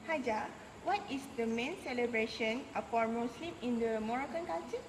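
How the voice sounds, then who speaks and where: A woman interviewer asking questions, halting now and then to find her words. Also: fairly high pitch. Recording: echoey hall with background noise.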